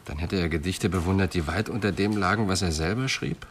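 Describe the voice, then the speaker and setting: An actor playing a calm, probing police inspector in a radio drama, measured and insistent. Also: male voice, low-pitched, close to an everyday voice. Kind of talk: German radio drama acting. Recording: faint background noise.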